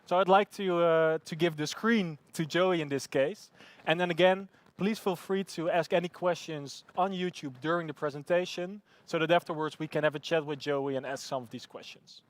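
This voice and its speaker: A man's voice: fairly high pitch, fairly even intonation, normal speed. A man hosting a live event, upbeat and hesitant, with many fillers. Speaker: event host.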